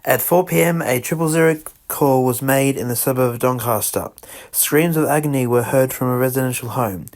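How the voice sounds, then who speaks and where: Amateur emergency announcer: man, medium-pitched, halting scripted delivery with self-corrections. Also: a little mellow. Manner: halting, stumbles over the script and corrects himself. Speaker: radio emergency announcer in a fan-made scenario.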